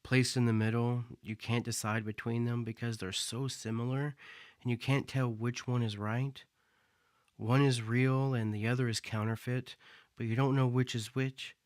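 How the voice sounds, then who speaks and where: Brooding magician , played by a man, measured, dry close sound. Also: medium pitch, level tone, no echo, lightly acted. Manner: reflective, measured. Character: brooding male magician, philosophical.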